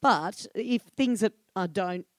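A woman's voice: mid-range voice, slow, no room sound, studio quality. An older Australian woman talking candidly as a podcast guest, chatty, with fillers and frequent laughter. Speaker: comedy room programmer, guest. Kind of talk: live podcast conversation.

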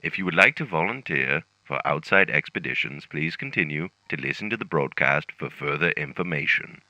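An actor playing a solemn announcer on a dystopian survival broadcast, in formal radio style. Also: male voice, extremely low pitch, animated, moderate pace, pushed and bright, dry recording, full character voice, rough recording. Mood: grave, official.

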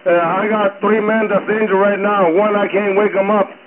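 An agitated man captaining a fishing boat calls for help over marine radio. His voice is high and halting, and he repeats himself. The narrow radio sound has a busy clutter of voices behind it. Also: steady tone, a loud crowd. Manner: halting, repetitive. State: agitated, distressed. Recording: phone-like radio transmission, busy background voices.

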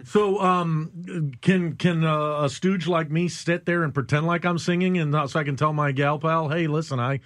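Higher-voiced American man co-hosting. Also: fairly even intonation, moderate pace, few pauses, a bit bright, dry recording, clean studio sound. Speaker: radio co-host. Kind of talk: casual interview banter.

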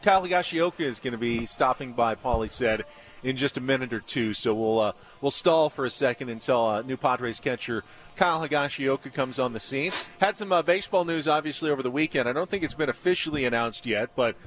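Male sports talk host, live remote show, average pitch. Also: brisk, a bit bright. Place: live remote broadcast at a baseball spring training site.

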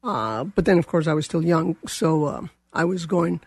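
An older woman with a Colombian accent, speaking as a guest. Her voice is gravelly and a little husky, and she wanders through her story with plenty of fillers. The recording is close and dry. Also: low voice, lively intonation, moderate pace, darkish tone, no room sound. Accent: Colombian Spanish. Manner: rambling, many fillers and restarts. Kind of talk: casual interview.

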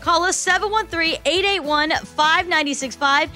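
Female morning radio host, upbeat, bright and sharp tone. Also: very high voice, a little slow, faint music behind. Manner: bubbly and enthusiastic, cheering callers.

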